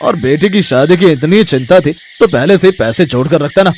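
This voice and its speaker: Casting: scornful rich boss played by a man, harsh storybook villain, slightly high male voice. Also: lively intonation, moderate pace, darkish tone, slight background noise, lightly acted. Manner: harsh, dismissive.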